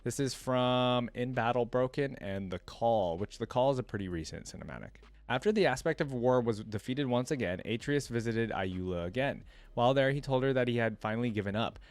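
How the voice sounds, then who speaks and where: A man's voice: mid-range voice, lively intonation, fairly quick, hardly any pauses, no echo. Man streamer, dry close sound, reading aloud. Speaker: streamer reacting to game lore. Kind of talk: reading aloud with casual commentary.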